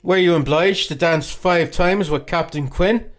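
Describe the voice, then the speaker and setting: Guy playing an teen boy, jealous and blustery, raised pitch, loud, audiobook character. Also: a little slow, natural voice. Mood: jealous, sulky. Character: hot-headed, boastful, jealous Irish boy of fifteen.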